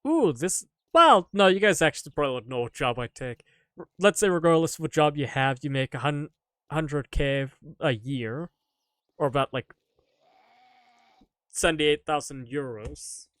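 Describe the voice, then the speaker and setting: A man's voice: on the high side, animated, moderate pace, a bit bright, no room sound. Joking male streamer, dry close sound. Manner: joking and self-mocking, laughs often. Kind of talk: casual live-stream chat answering viewer questions.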